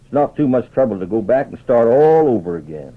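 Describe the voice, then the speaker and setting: A man's voice: mid-range voice, sing-song, brisk. A man voicing an old trapper and scout who talks in folksy cowboy phrases, in western radio storytelling. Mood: sage, advising. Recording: muffled old radio transcription.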